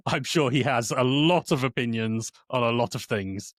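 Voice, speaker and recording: A man's voice: medium pitch, measured pace, no echo, clean studio sound. A man from South Wales who is a guest on a podcast, chatting casually, laughing often and repeating words as he talks. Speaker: guest, streamer.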